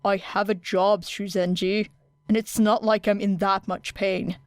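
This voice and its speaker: A woman voicing a stern, tired male teacher in an audiobook, with a terse, even, deadpan manner. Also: medium pitch, measured pace, no echo, studio quality, natural voice. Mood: dry, weary. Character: weary, stern adult male teacher, dryly grumbling. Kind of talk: audiobook character acting.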